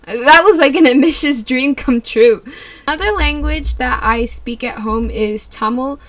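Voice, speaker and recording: Young woman from california rambling on her podcast, bright voice, lots of likes and little laughs. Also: high-pitched, a little slow. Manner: rambling, giggly, lots of likes and you knows. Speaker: podcast host. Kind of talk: casual solo podcast chat.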